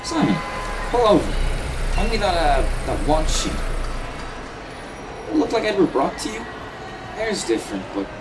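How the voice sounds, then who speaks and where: Man playing an excitable cop, wildly animated. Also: high voice, normal speed, long pauses, noisy background. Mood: suspicious. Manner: slangy, jumpy. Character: excitable, folksy male city cop. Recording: loud music over the voice.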